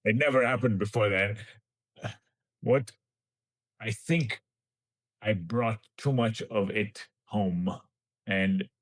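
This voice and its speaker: A man in his forties from Kenya speaks as a scientist guest, his voice sitting low. He talks in a thoughtful, halting way and often restarts his phrases. The sound is close and dry. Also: dry recording. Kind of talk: reflective interview answers.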